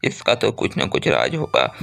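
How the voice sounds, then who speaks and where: Greedy cartoon moneylender, bullying schemer, played by a male narrator; low, swooping delivery with heavy grit. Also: wildly swooping pitch, normal speed, a bit bright, exaggerated voice. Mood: scheming, envious. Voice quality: gravelly. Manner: blustering, demanding. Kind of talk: acted Hindi cartoon storytelling.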